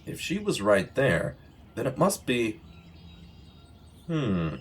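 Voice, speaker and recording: An actor playing an earnest, righteous former journalist investigating a killing in a radio drama. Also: male voice, mid-range voice, wildly swooping pitch, slow pace, slight background noise. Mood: puzzled, brooding. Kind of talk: audio drama acting in vintage radio manner. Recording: music laid under the voice. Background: plucked guitar music.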